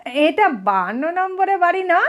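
Woman playing a fussy, high-voiced absent-minded male burglar. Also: big pitch swings, moderate pace, lo-fi sound. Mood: apologetic. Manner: polite, complaining, exclamatory. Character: short absent-minded male burglar, apologetic yet indignant and chatty.

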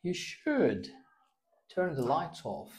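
A man's voice: on the high side, huge pitch swings, takes it slowly, a little hiss or hum. A young man giving a spoken English test, patiently prompting and correcting a student's answers in a small classroom. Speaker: English teacher.

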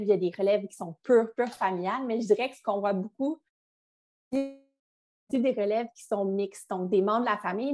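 A woman's voice: medium pitch, a little mellow. A young woman with a Quebec French accent giving a business webinar on a video call, in a chatty style with frequent restarts. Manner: conversational, with frequent restarts and fillers. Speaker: business succession advisor. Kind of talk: webinar presentation.

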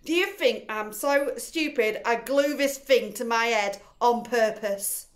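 A woman reading a storybook aloud, putting on the voice of a furious, pompous middle-aged father who yells and shrieks. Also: unhurried, echoey room, close to an everyday voice. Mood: indignant. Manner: yelling, shrill.

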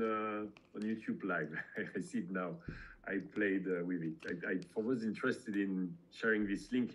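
A middle-aged man hosting a weekly video call, speaking casually with many halting fillers. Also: on the low side, a bit bright, slight background noise, poor audio. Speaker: host.

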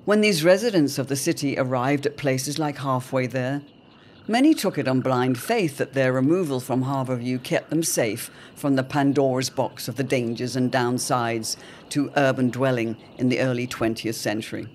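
A man narrating an audio drama serial in a measured, portentous, dramatic storytelling style. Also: mid-range voice. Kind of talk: dramatic scripted narration, old radio serial. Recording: clear background ambient noise.

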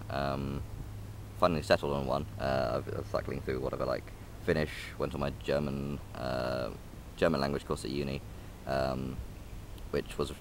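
Very deep-voiced young man rambling outdoors. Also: a little hiss or hum. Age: young adult. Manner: hesitant, many ums and restarts. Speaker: vlogger. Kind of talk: casual rambling vlog. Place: outdoors in a park by a railway line. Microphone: phone or camera held close.

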